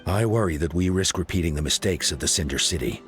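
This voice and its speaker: A dignified male leader of a tribe, with a fairly deep voice. He speaks formally and solemnly, weighing his words, over faint music. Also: lively intonation, fast. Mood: grave, worried. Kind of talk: video game voice acting.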